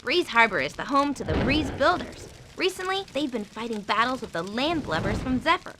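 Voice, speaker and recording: A woman playing a perky, friendly young female faun who narrates video game cutscenes in an expressive storybook way. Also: a little high, noisy background. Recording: game music under the voice.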